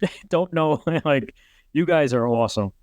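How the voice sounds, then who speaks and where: A man's voice: a little high, expressive, normal speed, a little mellow, dry recording. Middle-aged New York man telling stories. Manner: rambling, heavy use of "like", acts out quotes. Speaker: retired fire captain, guest. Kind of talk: casual podcast storytelling.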